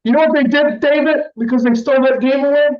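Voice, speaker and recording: A man co-hosting a casual sports podcast, brash and excitable, swearing freely, heard over muffled remote audio with some echo. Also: very high voice, fast. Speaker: podcast co-host.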